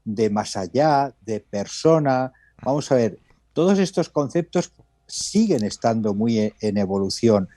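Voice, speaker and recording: A man, a talk-show guest, speaking in a measured, thoughtful way. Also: medium pitch, animated, mellow, no room sound.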